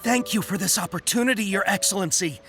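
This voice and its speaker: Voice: medium pitch, quick pace, a bit bright, soft background music, lightly acted. An actor playing a deferential, contrite soldier in a video game, speaking formally and respectfully. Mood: earnest, grateful. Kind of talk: video game character acting.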